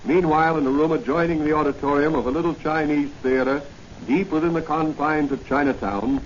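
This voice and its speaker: A man's voice: fairly high pitch, fairly even intonation, brisk. A man narrating a 1940s radio serial as announcer, with a formal, dramatic, declamatory delivery. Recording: muffled, noisy 1940 radio recording.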